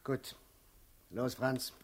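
Casting: male political enforcer for radio drama, medium pitch, curt, cold, businesslike menace. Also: fairly even intonation, extremely slow. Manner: curt, matter-of-fact. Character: cold, businesslike male party hitman in charge. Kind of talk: German radio drama acting.

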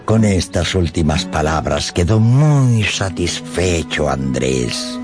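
A man narrating a dramatised audiobook in a theatrical, expressive way, with dramatic pauses and a gravelly edge. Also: low-pitched, over music.

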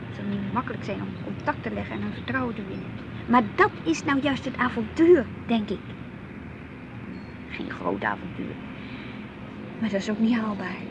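A woman's voice: on the high side, lo-fi sound, background noise. An actress playing a restless married woman who longs for adventure and presses her husband impatiently, in a radio play. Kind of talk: radio drama acting.

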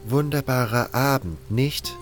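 An actor playing a cold, condescending baron who gives orders in a fantasy audio drama, with music underneath. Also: male voice, mid-range voice, unhurried, natural voice. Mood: musing. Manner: condescending, scornful. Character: cold, haughty male baron giving orders.

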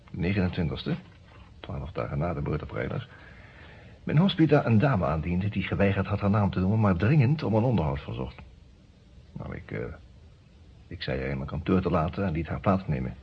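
A very husky, low-voiced genteel lawyer. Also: male voice, exaggerated voice. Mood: narrating. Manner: wry, reflective, formal and courteous. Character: genteel, polite male lawyer narrating his own story. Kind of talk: old radio drama narration and dialogue. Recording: muffled, band-limited old radio recording.

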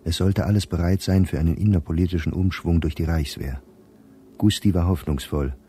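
An actor playing a weary middle-aged professor reading his private diary in a radio play, measured and reflective. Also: deep voice, slight background noise, lightly acted. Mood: sober. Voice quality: dark, husky.

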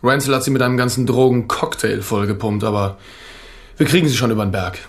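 Actor as mild-mannered male doctor, reassuring, medium pitch, radio crime drama. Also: quick pace, lightly acted. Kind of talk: crime radio play acting.

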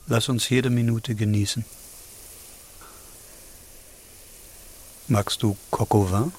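An actor playing an introverted, gentle, ailing man in an audio drama, speaking in brief, understated phrases. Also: medium pitch, steady tone, normal speed, breathy edge, natural voice. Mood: tender. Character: Max, introverted, gentle, gravely ill runaway man.

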